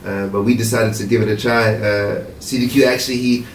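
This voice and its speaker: Soft-spoken young man in a radio studio. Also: on the low side, fairly quick. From Morocco. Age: 20s. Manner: relaxed, many fillers and restarts. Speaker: hip-hop artist guest. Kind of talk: casual radio interview. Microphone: studio mic with headphones, heard from a far camera. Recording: roomy, distant camera audio with faint noise.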